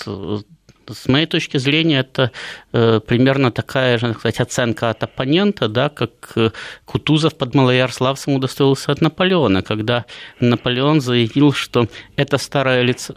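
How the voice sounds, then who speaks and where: A man's voice: medium pitch, dry recording. A man in his early fifties speaking as a political commentator, unscripted and wandering through asides with many hesitations. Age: early 50s. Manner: discursive, hesitant, full of long hesitations and parenthetical asides. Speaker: political commentator, guest on a talk show. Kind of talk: unscripted political analysis with anecdotes.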